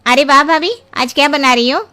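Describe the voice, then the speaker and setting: Woman acting a sharp, bossy cartoon in-law. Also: sits high, a little fast. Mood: brisk, bossy. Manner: matter-of-fact, directing. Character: brisk, bossy in-law woman of a big household. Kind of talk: Hindi moral-story cartoon acting.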